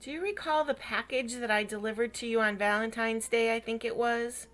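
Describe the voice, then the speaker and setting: A woman's voice: fairly high pitch, fairly even intonation, a little fast, a bit bright, voice pushed up, lightly acted. A woman voicing a courteous, anxious mailman in a children's storybook, hesitant and earnest. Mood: uneasy, hesitant. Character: courteous mailman, apologetic, anxious grown man.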